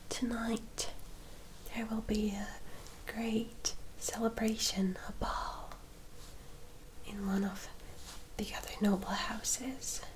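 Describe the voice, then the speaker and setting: A woman playing a gentle, courteous female vampire in a whispered ASMR roleplay, soft, formal and reassuring. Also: medium pitch, a little hiss or hum, close to an everyday voice, low-quality recording.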